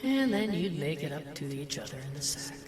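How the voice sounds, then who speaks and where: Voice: medium pitch, very animated, a little fast, background noise, lightly acted. An actor playing the mocking, disgusted inner voice of an old man, sardonic and needling, in an audio drama. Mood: accusing. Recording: roomy echo, music behind.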